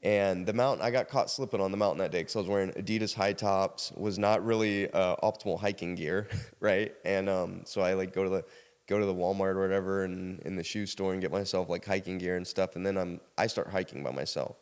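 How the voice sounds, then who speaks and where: Podcast guest: American man, low voice, casual animated storyteller, recorded with long reverb. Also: a little fast, smooth. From South Carolina, United States. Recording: reverberant hall-like room sound.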